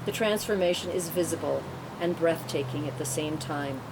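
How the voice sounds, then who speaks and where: A woman with a slightly low voice narrates stage directions at a script table read. She reads them as scripted narration, with clear background noise throughout the recording. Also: normal speed. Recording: noisy room, rumbling ambience.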